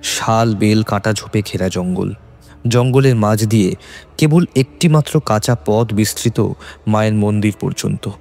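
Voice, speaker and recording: A man with a Bengali accent narrates a horror tale in a fairly deep voice. His delivery is scripted, dramatic and suspenseful, with faint music underneath. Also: normal speed, creaky, darkish tone.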